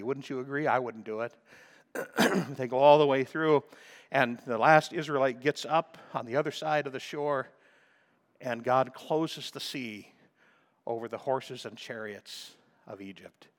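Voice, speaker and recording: A man preaching a sermon with emphatic delivery, often clearing his throat, his voice a little crackly. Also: medium pitch, fairly bright tone. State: slightly crackly voice. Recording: long reverb of a large hall.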